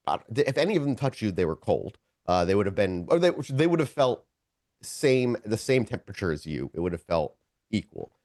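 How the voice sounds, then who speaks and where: A man's voice: on the low side, darkish tone, no room sound, clean studio sound. A man narrating a tabletop role-playing game as game master, improvising scene descriptions and often correcting himself as he searches for words.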